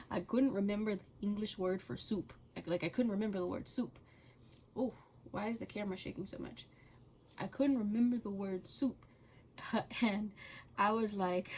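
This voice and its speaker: A woman chatting casually and rambling while coloring, halting often with fillers and laughing between thoughts. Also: medium pitch, darkish tone, slight background noise. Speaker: channel host.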